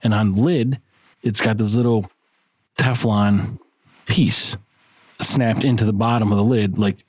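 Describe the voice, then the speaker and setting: A man's voice: fairly low pitch, fairly even intonation, unhurried, a little mellow, breathy edge, dry recording. A man co-hosting a podcast in crude, casual banter, blunt and teasing, with heavy swearing. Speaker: podcast co-host.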